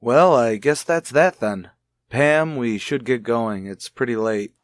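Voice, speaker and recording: Guy acting wry easygoing husband detective type, radio mystery, average pitch. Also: unhurried, dry recording, clean studio sound, natural voice. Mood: polite, doubtful. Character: easygoing, wry married amateur sleuth. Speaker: actor.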